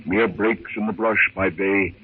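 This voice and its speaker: A man's voice: sits low, steady tone, measured pace. A man narrating a 1930s radio adventure serial in a dramatic, scripted style. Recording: tinny, noisy 1930s radio recording.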